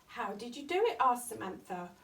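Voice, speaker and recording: Woman storyteller, high-ish voice, distant mic. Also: big pitch swings, normal speed. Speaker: storytime reader at a primary school. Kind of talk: expressive children's story reading.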